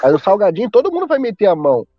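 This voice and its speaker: A man from Brazil, a teacher hosting a podcast in casual chat, talkative and quick to laugh. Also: high-pitched, wildly swooping pitch, dry recording, lo-fi sound. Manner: chatty, laughing often, drawn-out asides.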